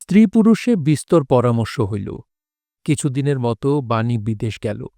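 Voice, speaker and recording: A man narrating literary prose for an audio drama in a solemn, measured, dramatic way. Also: mid-range voice, lively intonation, dark tone, no echo, clean studio sound.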